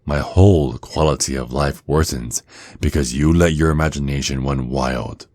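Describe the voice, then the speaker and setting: A man playing a menacing, possessive vampire neighbor in an intimate ASMR roleplay, speaking in a slow, teasing, seductive way straight to the listener. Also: very deep voice, fairly even intonation, a little mellow. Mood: taunting, menacing. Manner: slow, teasing, deliberate, with breathy drops toward a whisper.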